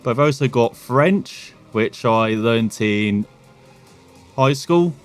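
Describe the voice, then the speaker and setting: A man's voice: medium pitch, animated, slow pace, soft background music. A man from England with an English accent, hosting a gaming channel with chatty, self-deprecating commentary full of rambling asides. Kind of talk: casual gameplay commentary.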